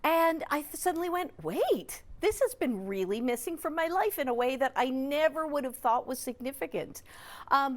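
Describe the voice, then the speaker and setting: Casting: woman, Canadian accent, notably high voice, chatty expert guest who explains through animated everyday examples. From Newfoundland and Labrador, Canada. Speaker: psychologist, regular TV guest expert. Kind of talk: conversational interview explanation.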